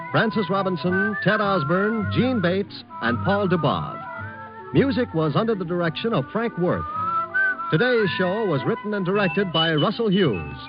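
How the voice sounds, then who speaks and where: An American man reading scripted credits as a 1940s radio announcer. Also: high voice. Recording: muffled old radio, loud music behind.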